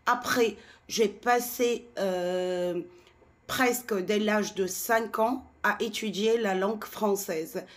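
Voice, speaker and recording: Slow, indignant Tunisian woman. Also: mid-range voice. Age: 40s. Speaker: French teacher. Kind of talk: ranting to camera.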